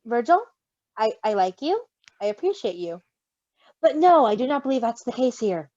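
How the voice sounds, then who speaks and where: Storybook part: protective, proud male creature parent, played by a woman, high and animated, fussing and cooing. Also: normal speed, dry recording, dull audio. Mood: indignant.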